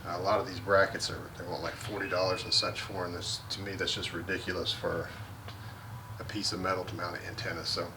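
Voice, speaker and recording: Man, deep low voice, relaxed how-to talk with fillers, noisy outdoor recording. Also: a little fast. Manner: relaxed, practical, many fillers and restarts. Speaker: vlogger. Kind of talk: casual how-to walkthrough.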